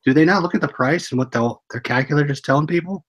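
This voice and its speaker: A young man co-hosting a casual livestream chat, relaxed and matter-of-fact. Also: on the high side, fast talker. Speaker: co-host.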